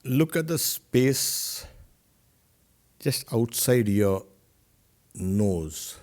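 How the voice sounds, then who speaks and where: A man, a spiritual teacher, leads a guided meditation with short, separated instructions in measured phrases. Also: medium pitch, animated, darkish tone.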